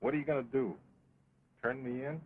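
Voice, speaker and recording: An actor playing a carefree, stubborn American cattle rustler in an early talkie western, with a folksy offhand drawl. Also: male voice, mid-range voice, brisk, husky, lightly acted. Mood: restless, shrugging. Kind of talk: early 1929 talkie western film acting. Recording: dull, noisy 1920s film soundtrack.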